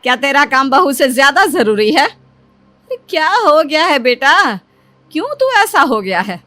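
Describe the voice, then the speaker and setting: A woman's voice: sits high, big pitch swings, unhurried, bright, forceful tone, no echo, voice pushed up, full character voice. Reproachful older mother voiced by a woman. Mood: concerned, reproachful. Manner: reproachful, pleading. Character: concerned older mother-in-law, scolding her son tenderly. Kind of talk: dramatised cartoon moral story.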